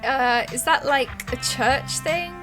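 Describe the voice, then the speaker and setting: A woman's voice: high-pitched, slow pace. A woman voicing a polite, curious and slightly tentative newcomer in a visual novel. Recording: music playing behind the voice.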